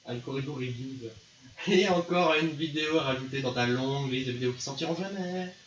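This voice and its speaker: Bright punchy guy teasing his buddy on a game stream, roomy sound, music behind. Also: medium pitch, fairly quick, muffled recording, a little hiss or hum. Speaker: streamer guiding a friend through a visual novel. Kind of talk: casual live gaming banter with read-out dialogue. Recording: echoey room with music behind.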